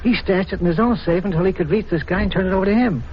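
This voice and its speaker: A man's voice: high-pitched, racing, natural voice. A man playing an eager, jumpy, loyal sidekick who talks in simple slang in a 1940s radio drama. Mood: eager, puzzled. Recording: dull, hissy 1940s radio recording.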